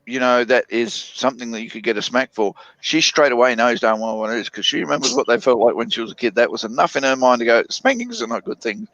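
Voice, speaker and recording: Halting man in casual chat, dull dry sound. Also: mid-range voice, a bit bright, no echo. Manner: halting, with many restarts and fillers.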